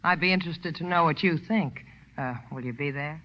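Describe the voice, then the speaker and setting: Sultry, wry club singer, teasing, reverberant vintage radio play. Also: female voice, low voice, fairly quick. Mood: cool. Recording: dull, reverberant old radio recording.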